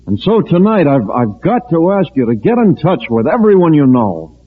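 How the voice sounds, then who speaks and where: A man's voice: fairly high pitch, big pitch swings, breathy edge. An actor playing an earnest, frank civil defense chairman addressing a meeting in an old-time radio drama. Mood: earnest, disappointed. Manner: earnest, halting public address. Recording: muffled phone-like vintage radio recording.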